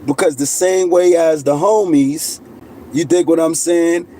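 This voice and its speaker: Mellow-voiced man in a street-slang monologue. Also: fairly high pitch, fairly even intonation, unhurried, a little hiss or hum, rough recording. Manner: street slang, insistent, repeats "you know what I mean". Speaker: channel host. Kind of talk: casual monologue to camera.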